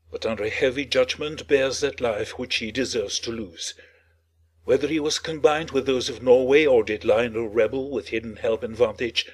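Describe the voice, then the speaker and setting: A man's voice: mid-range voice, husky, lightly acted. An actor playing a formal, grave nobleman bearing royal news in a dramatic Shakespeare reading.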